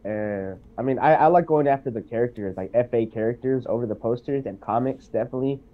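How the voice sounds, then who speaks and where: A man's voice: medium pitch, fast. Stuffed-up guy on a video call, lots of ums, sounds all phone-tinny. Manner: hesitant, many ums. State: congested from being sick. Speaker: guest. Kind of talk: casual chat on a call.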